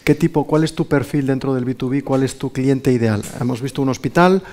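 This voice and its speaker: A man's voice: medium pitch, a little fast, a little mellow. Man, middle-aged, accent from Spain, mid-range voice, event moderator, informal questioning. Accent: Spanish from Spain. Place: indoor conference room with small seated audience.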